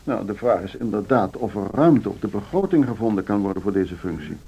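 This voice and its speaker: A man's voice: fairly low pitch, animated, fairly quick, mellow, slightly rough. An actor playing a genial board chairman in a radio drama, running a meeting in a courteous, formal, procedural way. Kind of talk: naturalistic radio drama dialogue. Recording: dull, noisy old radio play recording.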